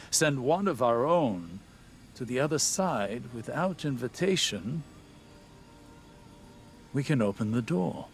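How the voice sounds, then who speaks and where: A man playing a solemn, intense scholar in a video game, reasoning aloud in a measured, deliberate way. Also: medium pitch. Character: cerebral, solemn male scholar, intense and absorbed. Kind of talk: dramatic video game exposition. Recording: noisy game ambience behind voice.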